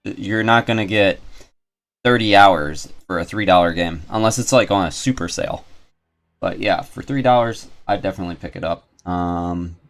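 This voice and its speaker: A man's voice: deep voice, normal speed, creaky. A man doing casual livestream game commentary, rambling and thinking aloud as he goes. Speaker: video game streamer and host. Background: game music.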